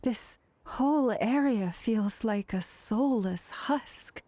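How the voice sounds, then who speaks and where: Solemn game heroine, dark soft tone, reserved, calm, dry sound. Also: female voice, a little high, takes it slowly, dry recording. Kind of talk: anime game voice acting.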